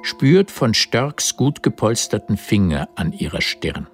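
A man's voice: lowish voice, very animated, a little slow, faint music behind. A man narrating a historical radio drama as its scripted literary storyteller. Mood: observant.

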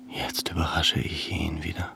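A man's voice: extremely low pitch, monotone, moderate pace, gravelly, noisy background, exaggerated voice. An actor playing a grieving middle-aged man in a radio drama, half whispering his brooding thoughts at his son's grave. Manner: hushed, brooding inner voice with whispered lines.

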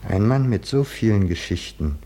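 An actor playing a stern, mocking mentor in a 1950s German radio play, husky and insistent in his admonishing. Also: fairly low pitch, lively intonation, moderate pace, warm tone. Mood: probing, disdainful.

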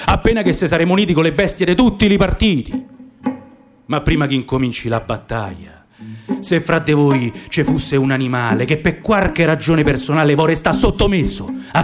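A man voicing a sly lion making a rousing speech, with a Roman accent. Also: lightly acted. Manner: low, speechifying. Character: sly male lion, rabble-rousing orator. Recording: guitar music behind.

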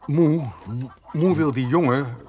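An actor playing an exasperated but soft-hearted farmer in a radio play, flustered and stammering over repeated words. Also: male voice, on the high side, slow pace. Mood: uneasy, grumbling. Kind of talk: Dutch radio play acting. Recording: noisy radio play recording.

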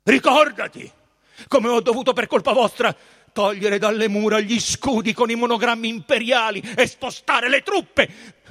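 A man's voice: extremely high pitch, normal speed, a bit bright, voice pushed up. A man voicing a haughty, menacing Roman procurator in a storybook, imperious and emphatic in manner. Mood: furious.

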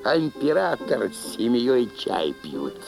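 A man's voice: mid-range voice, very animated, unhurried, vocal fry, darkish tone. A man playing a gossipy fairy-tale storyteller, narrating theatrically in a wry, rustic folk manner. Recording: music behind the voice.

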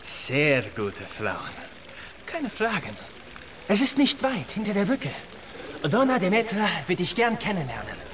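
An actor playing a pushy, obsequious Venetian guide and pimp, hesitant over foreign words, in a radio drama. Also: male voice, sits high, wildly swooping pitch, measured pace, fairly bright tone, slight background noise, exaggerated voice. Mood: fawning, coaxing. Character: fawning, pushy Venetian street guide and pimp touting to travellers.

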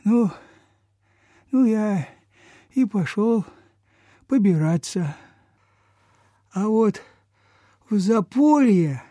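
An actor playing a sick, humble old peasant man begging in a weak, breathy, folksy voice in a radio play. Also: sits high, dark tone, no echo, clean studio sound, exaggerated voice. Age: older. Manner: slow, pleading, folksy rural speech. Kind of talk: Soviet radio play acting.

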